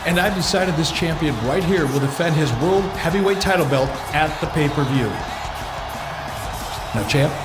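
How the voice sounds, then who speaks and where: A self-assured wrestling general manager played by a man announces with authority in a slightly high voice. The game cutscene is echoey, with loud music. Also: normal speed, natural voice. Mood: authoritative.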